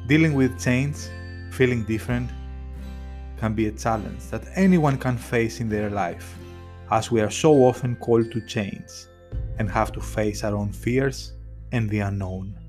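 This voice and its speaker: A man's voice: lowish voice, unhurried, a little mellow. A man hosting a podcast, reading a reflective scripted intro and then chatting with his guest with a few hesitations. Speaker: podcast host. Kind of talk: scripted intro narration, then conversational interview. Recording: music playing under the voice. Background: guitar music.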